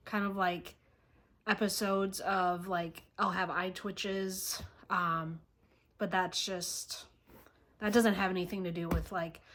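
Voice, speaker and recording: Woman vlogger talking real slow, lots of pauses n throat clearing, just sharing her own story. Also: mid-range voice, fairly bright tone. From born in Russia, raised in the United States from age three. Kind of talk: personal storytelling to camera.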